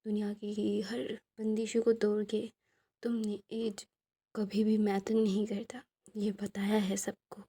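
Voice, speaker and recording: A woman narrating a dramatic story in a hushed, intense whisper. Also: medium pitch, completely flat delivery, slow, slightly rough.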